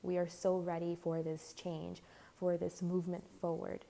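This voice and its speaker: Tarot reader, woman, lowish mellow voice going a bit hoarse; rambly, filler-heavy casual delivery. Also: level tone, moderate pace. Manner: rambling, full of "like" and "you know". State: slightly hoarse, losing her voice. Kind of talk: casual tarot reading to camera.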